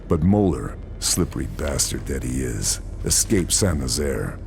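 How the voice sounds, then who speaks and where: An actor playing a determined sniper officer, speaking gruffly and grimly. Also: male voice, very deep voice, very animated, a little slow, a little mellow, a little hiss or hum, exaggerated voice. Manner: gruff, grim and resolute. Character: hard-bitten male sniper officer, determined.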